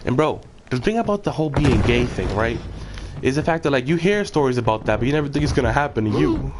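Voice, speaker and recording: A man's voice: mid-range voice, animated, fast talker, very noisy. A young man streaming, chatting casually and crudely over voice chat while gaming, mocking and trash-talking the other players.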